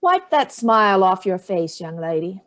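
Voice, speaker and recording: A woman's voice: mid-range voice, very animated, moderate pace, close to an everyday voice. An older woman playing a haughty, evasive elderly mother in a staged play reading, dismissive and theatrical with barbed remarks. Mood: scornful.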